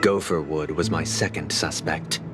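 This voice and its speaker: A man playing a composed, dutiful young head of a powerful family in a video game, speaking in a measured, formal, solemn way. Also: a bass voice, fairly even intonation, background noise. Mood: composed, guarded. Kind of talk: scripted video game dialogue acting. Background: background music.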